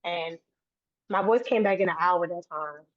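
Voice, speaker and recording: A woman's voice: lowish voice, unhurried. A woman guest talking earnestly in a casual interview, restarting and repeating phrases as she goes. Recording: dry, tinny phone-like line.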